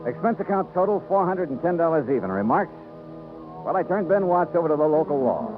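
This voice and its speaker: A tinny vintage radio recording carries a confident American male private investigator. His delivery is brisk and wisecracking, swinging freely in pitch in classic 1950s detective-drama fashion. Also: big pitch swings. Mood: tough, matter-of-fact. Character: wry, confident freelance insurance investigator, adult man. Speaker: lead actor narrating and playing detective. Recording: muffled old radio recording, narrow band, music.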